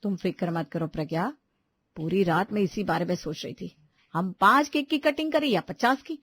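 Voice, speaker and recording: A woman's voice: mid-range voice, expressive, normal speed, a bit bright, husky, no echo, pitched below the performer's own voice. A woman voicing a crafty, sweet-talking young daughter-in-law in a family story, coaxing and persuading. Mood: scheming. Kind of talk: animated Hindi family story dialogue.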